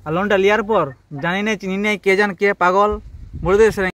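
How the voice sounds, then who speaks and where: Man posing as a rapid-fire pushy loan agent. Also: sits high, steady tone, close to an everyday voice. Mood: badgering. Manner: badgering, insistent. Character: pushy, threatening male bank loan recovery agent. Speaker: prank caller. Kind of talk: improvised prank phone call. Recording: noisy amateur phone-call recording.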